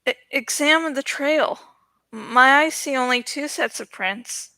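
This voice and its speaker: A grave, protective dragon voiced by a woman, solemn and formal. She speaks slowly and with measured weight, in a dry fantasy audiobook reading. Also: high voice, bright, forceful tone, dry recording, heavily acted. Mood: consoling.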